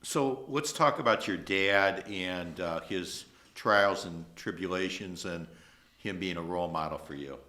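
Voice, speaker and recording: A middle-aged man from Ohio, an attorney, hosting a casual interview in a relaxed way with plenty of ums and uhs. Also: low-pitched, unhurried. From Toledo, Ohio. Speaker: host, attorney.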